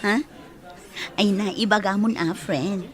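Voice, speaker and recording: A woman's voice: mid-range voice, steady tone, unhurried, natural voice. An actress in a Filipino radio drama playing a sincere young working woman. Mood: friendly, curious. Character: sincere young working woman, embarrassed about her boyfriend.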